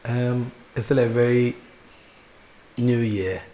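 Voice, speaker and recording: A young Ghanaian man with a Ghanaian accent, a gospel artist chatting as an interview guest, soft-spoken, laughing easily and often restarting his sentences. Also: mid-range voice, steady tone, slow pace, slight background noise. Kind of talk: casual interview chat.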